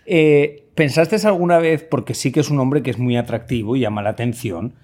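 A man hosts a relaxed interview with a friend. He asks his questions in a playful, teasing way. His voice is mellow and dark in tone, at a middle pitch. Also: moderate pace. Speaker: host. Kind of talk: casual interview chat.

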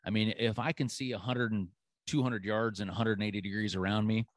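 A man's voice: lowish voice, steady tone, a little fast, no echo, clean studio sound. A man hosting a hunting podcast, chatting casually and easygoing, with lots of "you know" fillers. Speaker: podcast host.

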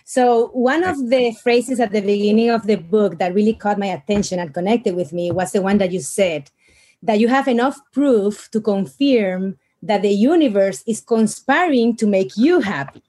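A woman's voice: medium pitch, normal speed, mellow. A woman from the Dominican Republic, an audience member politely and eagerly asking a question.

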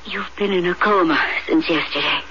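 An actress playing an anxious, gentle middle-aged mother at a bedside in an old-fashioned radio drama. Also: on the low side, normal speed, natural voice. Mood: tender, anxious. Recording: muffled, noisy radio broadcast tape.

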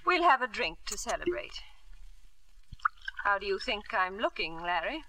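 An actress playing a nervy, genteel wife who drinks, in a 1950s radio drama. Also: female voice, mid-range voice, very animated, normal speed, bright, forceful tone, rough recording. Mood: brittle. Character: Evelyn, brittle unhappy wife who drinks.

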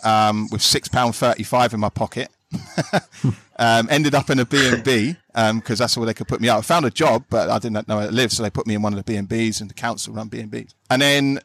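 A man's voice: medium pitch, fairly quick, a bit bright, dry recording. An English man, a podcast guest, chatting casually and telling stories. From England. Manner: chatty storyteller, says "do you know what I mean" often.

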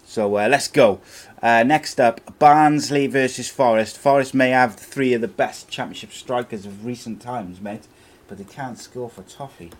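A man's voice: medium pitch, measured pace. A man hosting a casual football chat show, laid-back and chatty, reading out viewer questions. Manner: laid-back, chatty, calls co-host mate. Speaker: show host.

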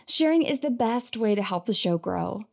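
A woman's voice: medium pitch, expressive, normal speed, creaky. A woman hosting a podcast, reading an upbeat intro and chatting with a guest in a bubbly, enthusiastic way. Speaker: podcast host.

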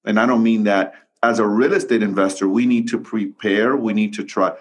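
A man hosting a real estate podcast, talking in an energetic, emphatic way, repeating and restarting his phrases. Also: fairly low pitch, moderate pace. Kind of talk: casual interview talk.

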